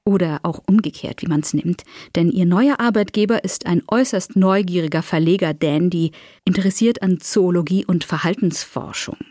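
A woman's voice: fairly low pitch, normal speed, dark tone, no echo, clean studio sound. A woman reading a scripted case summary as a podcast narrator.

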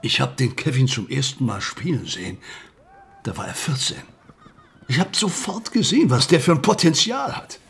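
An actor playing an agitated, possessive middle-aged football mentor, speaking haltingly and emphatically in a drama. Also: male voice, mid-range voice, animated, gravelly, fairly bright tone, husky, heavily acted. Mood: wistful admiring. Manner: emphatic, halting, seeks agreement. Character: agitated, possessive football mentor grieving his young protégé.

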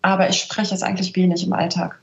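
A woman's voice: fairly low pitch, level tone, fast. Thoughtful middle-aged woman, roomy dull line. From northern Germany, near Celle. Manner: thoughtful, searching, frequent "ne?" and "also". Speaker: literary translator, interview guest. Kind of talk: reflective interview talk. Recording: roomy, slightly dull remote audio.

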